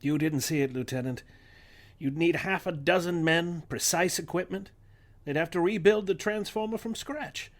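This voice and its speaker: A man's voice: on the high side, moderate pace, lightly acted. A man acting an earnest academic in a radio play script reading. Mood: urgent, explaining. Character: earnest academic man explaining technical matters. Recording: clearly noisy recording.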